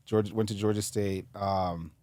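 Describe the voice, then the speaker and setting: A man's voice: fairly low pitch, fairly even intonation, normal speed, crowd noise. A man in his thirties from Zambia and South Africa, telling personal stories casually and jokingly as a podcast guest. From Zambia and South Africa, moved to the US in high school. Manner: informal, joking, frequent "like". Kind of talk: casual conversational storytelling.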